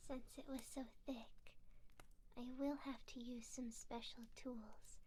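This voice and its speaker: A kind elven innkeeper acted by a woman whispers very slowly, close to the ears on a binaural microphone. The breathy voice sits fairly high and is gentle and caring, in a soothing ASMR roleplay. A little noise sits behind it. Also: little pitch movement. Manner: slow, gentle, caring, slightly formal. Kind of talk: soft-spoken ASMR roleplay. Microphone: close binaural ear microphone.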